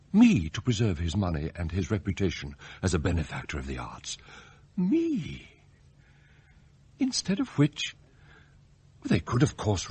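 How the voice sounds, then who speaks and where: An actor playing a formal, measured butler in a radio drama, smooth, scheming and bitterly envious. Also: male voice, mid-range voice, no room sound, full character voice. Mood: wounded, determined. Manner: formal, measured, deferential to employers. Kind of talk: radio drama acting with confiding monologue.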